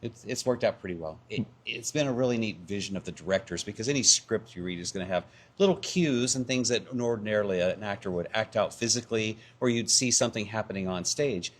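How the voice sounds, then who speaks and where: A man's voice: fairly low pitch, fast talker, hardly any pauses. A man being interviewed as a community theatre performer, answering in a thoughtful, casual way. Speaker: community theatre actor and narrator, interview guest.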